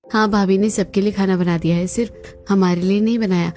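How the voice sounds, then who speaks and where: A woman's voice: medium pitch, fairly even intonation, fairly quick, mellow, faint music behind, lightly acted. A woman playing a meek, dutiful newlywed daughter-in-law in a cartoon family drama, speaking politely and deferentially. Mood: troubled.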